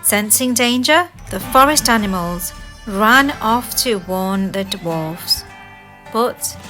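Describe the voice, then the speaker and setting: Unhurried, bright-voiced woman storyteller. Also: medium pitch. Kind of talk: scripted children's fairy tale narration.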